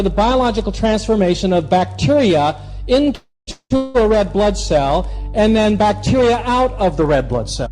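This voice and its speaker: A man's voice: high-pitched, warm tone, low-quality recording. A man lecturing as a researcher, emphatic and building his point through repeated phrases.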